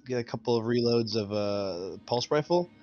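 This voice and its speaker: A man's voice: medium pitch, normal speed. Man on a dull, noisy lo-fi mic. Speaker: player in a tabletop roleplaying game. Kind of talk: casual game table chat. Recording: dull lo-fi sound, background noise.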